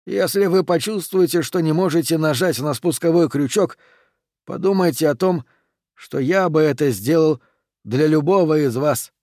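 A man's voice: fairly high pitch, normal speed, warm tone, dry recording, clean studio sound, close to an everyday voice. A man reading an audiobook and voicing a stern, dying old man who gives curt orders and prays through his pain. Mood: earnest.

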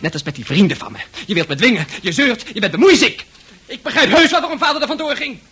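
A man's voice: extremely high pitch, lively intonation, fast talker, sharp tone, husky, exaggerated voice. A man playing a touchy teenage son of nearly seventeen in a radio play, surly and defiant. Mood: angry, defiant.

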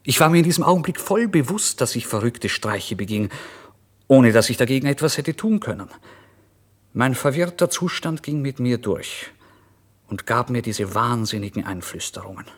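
A man's voice: medium pitch, husky. An actor playing an impoverished, irritable and impulsive male writer, telling his story in a theatrical, emphatic radio monologue. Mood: feverish mischief. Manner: theatrical, emphatic, swinging between hushed and loud. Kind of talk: literary first-person radio monologue, dramatised narration.

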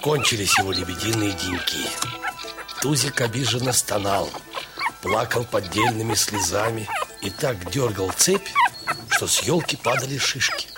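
Male storyteller, acted, first-person dog owner, expressive mid-pitched delivery over prominent music. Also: normal speed, natural voice. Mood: calm, wry. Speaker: narrator of a short story. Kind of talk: first-person literary narration, dramatised reading.

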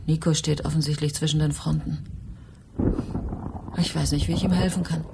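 A woman's voice: low voice, level tone, moderate pace, creaky, background noise. An actress playing an earnest, anxious, romantic woman in a German radio drama. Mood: troubled. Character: earnest, troubled, romantic woman obsessed with secret messages.

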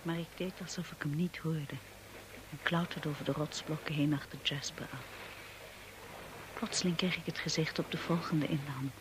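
An actress playing a timid, anxious young wife in a Dutch radio drama, hesitant and devoted, also narrating softly in the first person. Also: female voice, sits low, steady tone, moderate pace, natural voice. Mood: hushed, reflective narration. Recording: noisy old radio play recording.

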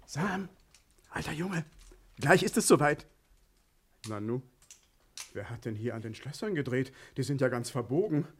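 An actor playing a flustered, easily startled stage juggler who is being outwitted, in a 1960s German radio crime drama. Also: male voice, mid-range voice, animated, unhurried, long pauses, slightly rough. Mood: puzzled, startled. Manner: exclaiming, easily taken aback. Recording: old radio recording with faint noise.

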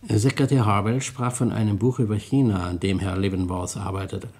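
An actor playing a courteous, slyly ironic police detective in a German radio crime drama, speaking in a measured way. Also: male voice, lowish voice, steady tone, husky. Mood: persuasive, genial. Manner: polite, measured, gently ironic.

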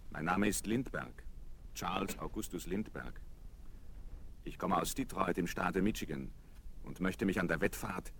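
An actor playing a self-assured, wry young aviator in a German children's radio play, breezy and dryly ironic. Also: male voice, low-pitched, lively intonation, a little slow, pauses often, fairly bright tone, full character voice. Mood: wry, unflappable. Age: young adult. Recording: noisy vinyl radio-play recording.

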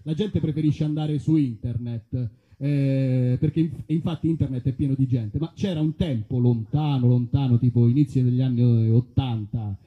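A man doing casual live comedy banter, introducing sketches in a rambling, deadpan way and repeating his phrases. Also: mid-range voice, normal speed, narrow, tinny audio. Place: live festival stage.

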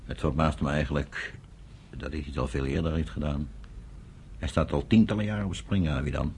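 An actor in a Dutch radio play voicing a stern, troubled elder brother who speaks gravely and with strong emotion. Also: male voice, rumbling low, brisk, a little mellow, a little hiss or hum. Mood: troubled, resigned.

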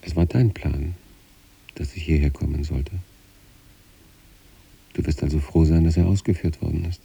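A dark, husky, brooding male patient. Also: extremely low pitch, fairly even intonation, moderate pace, heavily acted. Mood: brooding, detached. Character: troubled, detached male sanatorium patient writing letters to his wife. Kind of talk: radio play monologue, letters read as inner voice.

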